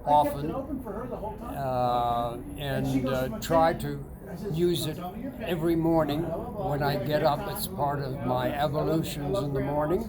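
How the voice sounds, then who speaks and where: A man in his ninetieth year, talking casually from inside a sauna, halting with many fillers and restarts. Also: on the high side, over a noisy crowd. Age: older. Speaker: sauna customer. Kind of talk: casual testimonial chat. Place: indoors, seated in a sauna.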